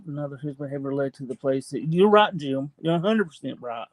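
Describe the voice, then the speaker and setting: A man's voice: mid-range voice, expressive, a little fast, no echo, poor audio. A man chatting casually as a live stream co-host, informal, agreeable and emphatic. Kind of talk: casual chat.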